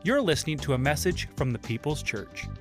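A man reads a scripted welcome announcement in a bright, upbeat manner. His voice sits slightly high and moves around a lot in pitch. Clear music plays underneath him. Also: very animated, brisk. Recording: voice over background music.

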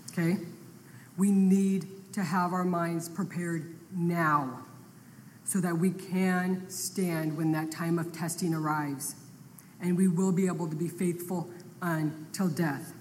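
A woman teaching a Bible study to other women, reading scripture aloud and building to emphatic, forceful peaks. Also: on the low side. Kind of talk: sermon. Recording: long reverb, faint background noise.

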